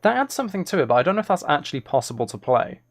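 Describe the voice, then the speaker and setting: A man's voice: on the high side, sing-song, very fast. A man chatting casually as he works on music on a live stream, musing aloud in a self-deprecating way. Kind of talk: casual chat while working.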